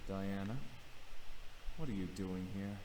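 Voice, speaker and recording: A man's voice: low-pitched, slow, dark tone. A man playing a defiant demon heir in a visual novel game, speaking in a formal, firm, cutting way. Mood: cold. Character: defiant young male demon heir rejecting his birthright.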